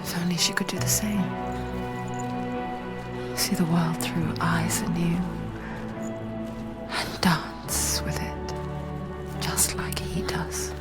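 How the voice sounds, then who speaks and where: A woman acting as a solemn, breathy, whispering narrator spirit in a video game, intimate in delivery. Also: on the low side, steady tone, slow, long pauses. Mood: wistful. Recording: loud music behind the whisper.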